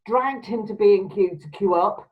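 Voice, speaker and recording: A woman's voice: mid-range voice, normal speed. Brief: chatty, laughing woman host, muffled lo-fi call audio. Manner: chatty, laughs often, emphatic. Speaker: podcast host. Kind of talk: casual video-call chat. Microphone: video call.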